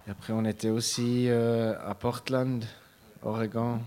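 A man, a musician answering interview questions in a halting way. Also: medium pitch, little pitch movement, mellow. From Switzerland. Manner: halting, with many fillers and restarts.

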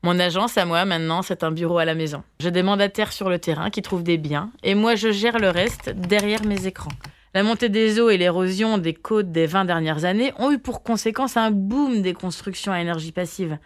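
A woman's voice: mid-range voice, normal speed, slight background noise. A woman speaking as an estate agent in a composed, scripted-sounding testimonial.

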